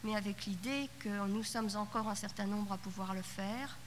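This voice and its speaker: A middle-aged woman, first language Yiddish, thinks aloud at a conference panel, pausing and restarting her phrases. Her voice sits at an ordinary pitch. It comes from an old cassette tape of a hall, with a long reverb tail and a light hiss underneath. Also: steady tone. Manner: thoughtful, halting, many restarts and fillers. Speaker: panelist at a literature conference roundtable. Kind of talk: spoken reflection at a conference panel.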